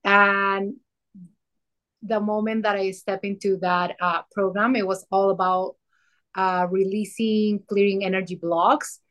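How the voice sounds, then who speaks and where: A woman's voice: medium pitch, flat delivery, a little slow, a bit bright. Peruvian-accented woman telling her story. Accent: Peruvian Spanish. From Peru. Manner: storytelling with frequent fillers and restarts. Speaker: guest, soul alignment mentor. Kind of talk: casual podcast conversation.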